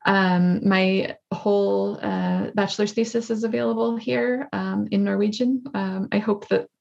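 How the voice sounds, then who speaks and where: A woman, a native English speaker, explaining her survey research as a guest on a video call in a thoughtful, steady way. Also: medium pitch, measured pace, creaky, dull audio.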